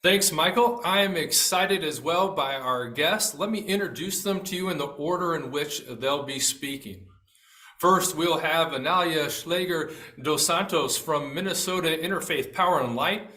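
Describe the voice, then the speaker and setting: Bright-voiced man reading introductions, echoey. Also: on the high side, moderate pace. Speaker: panel moderator.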